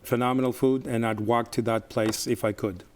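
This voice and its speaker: A man's voice: mid-range voice, little pitch movement, normal speed, darkish tone. A man who is a surgeon, chatting as a guest about a restaurant, telling it as a story with frequent fillers. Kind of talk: casual chat.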